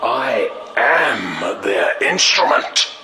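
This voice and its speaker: An actor playing a grandiose alien zealot tyrant in a sci-fi game, declaiming pompously and slowly over loud music. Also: male voice, on the low side, very animated, full character voice. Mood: menacing, exultant. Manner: pompous, slow oratorical declaiming. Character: grandiose alien prophet, male zealot tyrant threatening doom. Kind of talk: villain monologue in cinematic sci-fi game.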